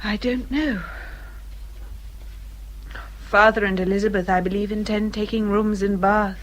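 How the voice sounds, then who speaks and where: An actress playing a young English gentlewoman in a radio period drama, speaking with earnest, well-spoken restraint. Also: mid-range voice, fairly even intonation, measured pace, lightly acted, rough recording. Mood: resigned. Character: gentle, self-controlled English gentlewoman of twenty-seven.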